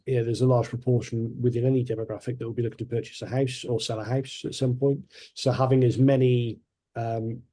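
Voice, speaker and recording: A man's voice: medium pitch, mellow. A man on a panel, talking in a rambling way with many restarts and fillers. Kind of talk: panel discussion.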